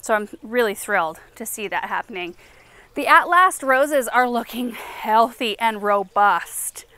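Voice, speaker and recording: Bright, clear-voiced woman touring her garden. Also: a little high, animated, a little slow, dry recording. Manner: chatty and enthusiastic, walking and pointing at plants. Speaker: garden show host. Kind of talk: casual garden tour vlog. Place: outdoor garden.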